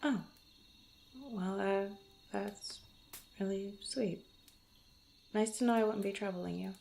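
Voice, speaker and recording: A woman's voice: mid-range voice, fairly even intonation, slow, vocal fry, darkish tone, a little hiss or hum. A woman playing a guarded but fond young female rogue in an intimate roleplay, bantering playfully and stammering when flustered. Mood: hesitant confiding. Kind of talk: intimate ASMR roleplay, one-sided conversation.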